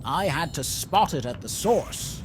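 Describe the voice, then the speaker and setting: A man with a fairly high voice narrates a festive tale in character. His pitch swings widely as he speaks in a deliberate, theatrical way. There is faint noise behind him. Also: lively intonation. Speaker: storyteller in a roleplay story event. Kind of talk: in-character holiday storytelling.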